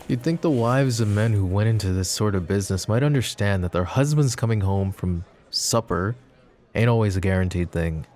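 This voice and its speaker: A man playing a flirty, possessive mafia boss in a roleplay ASMR drama, smooth-talking, teasing and protective. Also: lowish voice, moderate pace, darkish tone, natural voice. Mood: weary, wry. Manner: smooth-talking, teasing, pet names, sighs.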